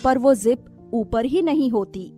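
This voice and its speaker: A woman narrates a scripted cartoon story in a high voice. She takes her time over each sentence. Faint music runs behind her. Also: lively intonation, slow, dark tone.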